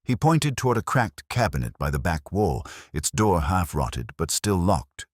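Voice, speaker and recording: Male narrator, extremely low pitch, lively intonation, dry close sound, reflective scripted mystery storytelling. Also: no echo. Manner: measured, literary, reflective delivery. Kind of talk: scripted first-person detective story narration.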